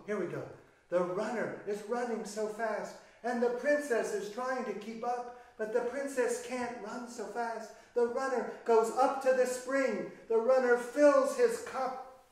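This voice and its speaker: In a very echoey room, a man with a fairly high voice tells a children's fairy tale. He takes his time, leaving deliberate pauses and leaning on key words theatrically. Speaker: children's storyteller. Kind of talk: animated storytelling of a fairy tale. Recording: echoey room, distant microphone.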